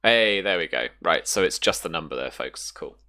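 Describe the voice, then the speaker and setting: A woman's voice: a bass voice, animated, moderate pace, pushed and bright. A woman narrating an online tabletop roleplaying game as game master, informal and hesitant as she thinks aloud.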